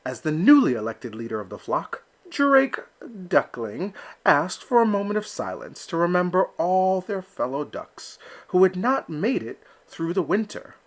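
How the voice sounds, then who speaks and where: A man reading a children's picture book aloud with slow, expressive storytelling. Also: high-pitched. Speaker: storybook reader. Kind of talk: children's read-aloud narration.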